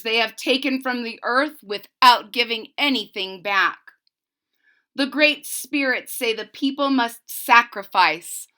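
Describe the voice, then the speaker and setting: A grave storybook shaman, played by a woman, makes a solemn proclamation. The voice is high for the part and forceful, with slow, emphatic delivery. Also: full character voice. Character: solemn male shaman proclaiming the spirits' words.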